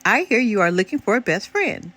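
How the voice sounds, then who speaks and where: Woman playing a friendly, modest skunk, lively pitch. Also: fairly quick.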